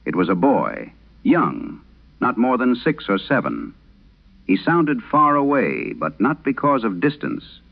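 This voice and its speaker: A man voicing an eighty-one-year-old former telephone operator recounting her past in a measured, grave, literary way. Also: medium pitch, sing-song, creaky, voice pushed up, exaggerated voice. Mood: uneasy, hushed. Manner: measured, grave, literary first-person storytelling. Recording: muffled band-limited old radio sound.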